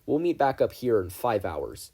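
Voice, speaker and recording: A man reads the part of an older adventurer great-uncle in a storybook reading. He is firm and commanding, giving orders in a husky voice. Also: medium pitch, big pitch swings, moderate pace, mellow. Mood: reassuring.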